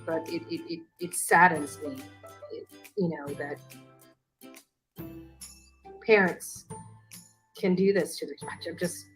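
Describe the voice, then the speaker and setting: Halting woman with a slightly low voice. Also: soft background music, low-quality recording. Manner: halting, earnest. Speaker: livestreamer addressing a chat. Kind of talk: unscripted livestream talk.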